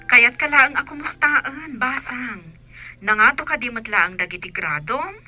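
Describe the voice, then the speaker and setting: Very bright telephone-filtered godmother. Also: a little high, lively intonation, unhurried, faint music behind, heavily acted. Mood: affectionate. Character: doting godmother checking on her goddaughter by phone. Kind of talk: radio drama acting.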